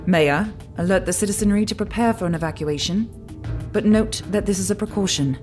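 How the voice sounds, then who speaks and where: An actress playing a stern, regal female leader in an audio drama, speaking in a deliberate, formal, commanding way. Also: mid-range voice, darkish tone, faint music behind, close to an everyday voice. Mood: firm, commanding.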